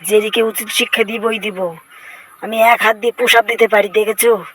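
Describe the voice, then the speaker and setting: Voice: mid-range voice, steady tone, moderate pace, a bit bright, husky, low-quality recording. A voice actor dubbing a boastful, vengeful cartoon cat in speech, exclaiming, whining and bragging to himself in a comic fan-dub style.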